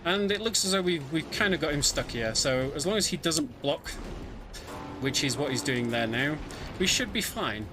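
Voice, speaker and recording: A man's voice: a little high, animated, moderate pace, noisy background. A man giving live, explanatory commentary on a video game as he plays it, somewhat hesitant as he goes. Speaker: speedrunner commentating own play. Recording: game music behind voice. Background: video game music.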